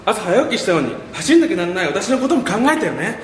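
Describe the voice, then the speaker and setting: A man playing a caring, easily flustered high school girl who scolds and coaxes in an amateur radio drama. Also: big pitch swings, quick pace. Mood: reproachful. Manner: coy, nagging, stammers when flustered. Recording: roomy reverberant echo with clear noise.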